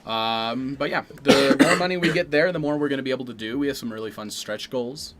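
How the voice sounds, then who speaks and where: A man's voice: mid-range voice, a little fast. Laid-back man cracking dry quips. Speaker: co-host of a livestream Q&A. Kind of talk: casual livestream chat.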